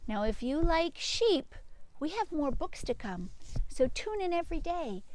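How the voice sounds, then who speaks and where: A woman's voice: high voice, big pitch swings, unhurried, darkish tone, low-quality recording. A woman hosting a children's storytime, reading aloud and speaking directly to young listeners with questions and asides. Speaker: storytime reader and channel host.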